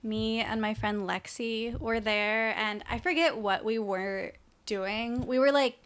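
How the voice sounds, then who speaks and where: A woman's voice: a little high, fairly even intonation, slow, fairly bright tone, clean tone. A woman co-hosting a podcast, telling stories and recapping television in a casual, conversational way. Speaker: podcast co-host.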